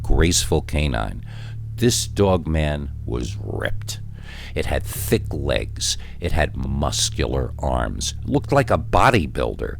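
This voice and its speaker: Low-voiced man telling scary stories, lively delivery. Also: a little hiss or hum. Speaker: horror story narrator. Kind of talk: scripted first-person scary story narration.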